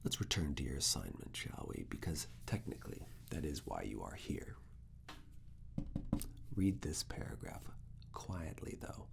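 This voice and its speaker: Male ASMR performer, professor role: extremely low, whispered, gravelly, with deliberate, teasingly stern and intimate delivery. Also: sing-song. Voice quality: breathy whisper mixed with very low creaky murmur. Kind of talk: ASMR personal attention roleplay.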